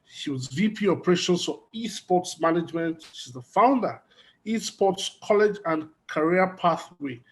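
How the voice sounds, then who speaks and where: A man's voice: high-pitched, animated, moderate pace. A man with a Nigerian accent, moderating an online panel and introducing guests in courteous, formal phrases. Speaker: conference moderator. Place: online video conference.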